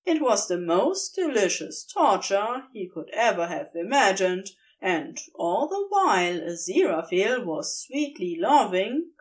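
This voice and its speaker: A woman reading a fan fiction story aloud as a podfic, in a slow, expressive and intimate way. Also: mid-range voice. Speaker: podfic reader. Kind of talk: scripted story narration.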